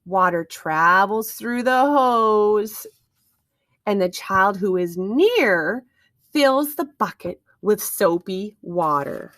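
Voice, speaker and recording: A woman's voice: medium pitch, lively intonation, takes it slowly, a bit bright, dry recording. A woman reading aloud to young children like a teacher, expressively, with questions and wondering asides to her listeners.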